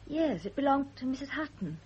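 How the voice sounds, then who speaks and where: An actress playing a shy, deferential young wife, timid and polite, in a radio drama. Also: female voice, high-pitched, sing-song, brisk, a little mellow. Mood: shy eagerness. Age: young adult. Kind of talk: 1970s radio drama acting. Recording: dull lo-fi old radio recording.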